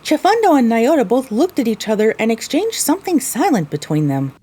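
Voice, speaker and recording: A woman's voice: on the high side, sing-song, fairly quick, warm tone, husky. A woman narrating a scripted first-person story.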